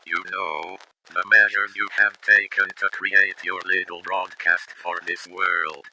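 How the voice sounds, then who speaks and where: A man playing a mysterious, menacing being from another time in an analog horror drama, speaking calmly and deliberately. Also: low-pitched, a little hiss or hum, exaggerated voice, rough recording. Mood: calm, ominous. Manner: slow, deliberate, ominous speech.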